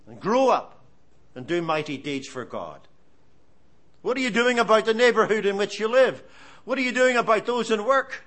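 A man in his seventies with an Irish accent, preaching a sermon in an earnest, storytelling way. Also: very high voice. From Ireland. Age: 70s. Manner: animated, storytelling, earnest exhortation.

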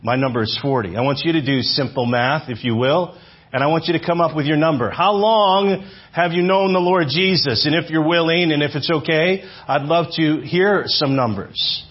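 Husky guy preaching to a congregation, kinda high voice, asks the crowd stuff, dull old church recording. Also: normal speed. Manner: conversational, repeats phrases, asks the audience questions. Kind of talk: sermon. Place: church hall with audience. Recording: dull lo-fi sermon audio.

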